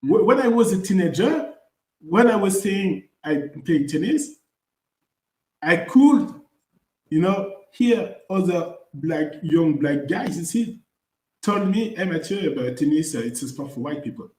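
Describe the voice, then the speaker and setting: A man co-hosting a podcast, speaking earnestly and haltingly, often checking in with "you know?" and "you see?". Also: high voice, animated, dark tone. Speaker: podcast co-host. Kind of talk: casual chat. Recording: echoey room.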